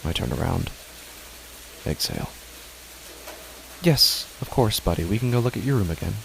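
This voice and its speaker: Deep gravelly male horror narrator. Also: expressive, fairly bright tone, a little hiss or hum, poor audio. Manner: measured, tense storytelling. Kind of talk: first-person horror narration.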